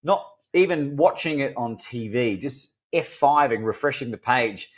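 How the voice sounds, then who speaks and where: A man's voice: mid-range voice, expressive, a little slow, breathy edge. A middle-aged man with a mixed New Zealand and Australian accent, telling his life story as a podcast guest in an earnest, self-deprecating way with frequent restarts. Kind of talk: candid storytelling interview.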